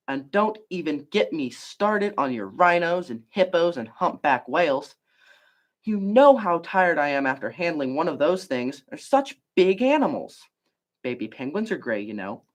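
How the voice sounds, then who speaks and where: A reader voicing a very tired, put-upon gray crayon from a storybook, full of complaint. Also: mid-range voice.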